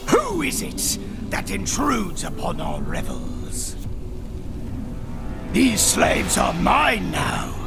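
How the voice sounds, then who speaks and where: An actor playing a menacing, imperious cultist villain in a fantasy video game, gravelly and theatrical. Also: male voice, high voice, exaggerated voice. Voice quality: gravelly, creaking. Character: menacing male cultist villain, servant of a dark god. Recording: loud music behind the voice.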